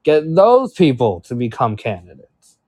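Mid-pitched man, animated and persuasive, numbering his points, dry close sound. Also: measured pace. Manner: structured, persuasive, keeps saying right. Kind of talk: casual panel discussion.

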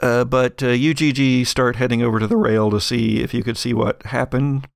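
Man, game master, improvised tabletop narration, conversational, creaky voice, dry recording. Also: medium pitch, steady tone, moderate pace, no echo.

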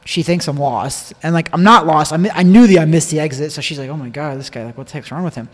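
Higher-voiced American man, casual storyteller, reverberant. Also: fast talker. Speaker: podcast speaker telling a personal story. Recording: long echoing reverb.

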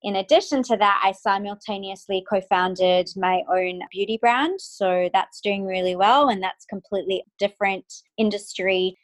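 Woman guest, 30s, earnest and emotional, mid-pitched, halting delivery. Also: low-quality recording. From Australia. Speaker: guest, clinical psychologist. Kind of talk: remote interview.

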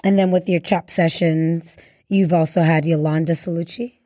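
A woman interviewing as a host, in an unhurried conversational style. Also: fairly low pitch, steady tone, mellow, no echo, clean studio sound.

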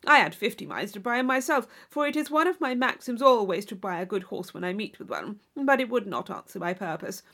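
Audiobook role: woman as boastful young Regency gentleman, blustering and brash, full of loud exclamation. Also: mid-range voice, sing-song, a little fast, hardly any pauses, exaggerated voice. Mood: boastful, hearty. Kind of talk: audiobook character voice.

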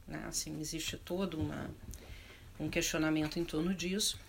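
A woman's voice: fairly low pitch, fairly bright tone, slight background noise. A middle-aged woman with a southern Brazilian accent, speaking unscripted in a panel discussion, reflective and often searching for words as she rephrases. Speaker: panel speaker on arts and culture.

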